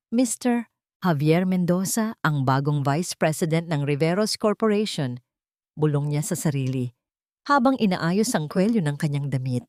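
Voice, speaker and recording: A woman's voice: on the low side, a little mellow, no echo, studio quality. A woman giving a dramatic scripted narration of a love story.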